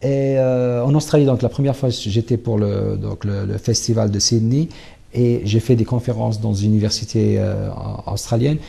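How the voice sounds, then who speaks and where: A man's voice: lowish voice, steady tone, moderate pace, vocal fry, warm tone. A man, a writer being interviewed on TV, speaking hesitantly with many fillers and restarts. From Algeria. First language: Kabyle. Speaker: guest writer.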